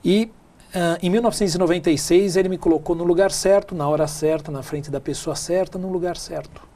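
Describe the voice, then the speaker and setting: Middle-aged man, São Paulo Brazilian accent, slightly high voice, conversational storyteller in an interview seat. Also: fairly quick, warm tone, a little hiss or hum. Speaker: interview guest, tech speaker and businessman.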